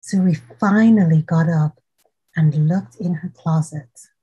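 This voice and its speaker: Female storytime host: very husky, mellow voice, gentle read-aloud with asides to small children. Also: low voice, a little slow, lo-fi sound. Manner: gentle, addressing children directly with questions. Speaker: host reading a children's picture book aloud.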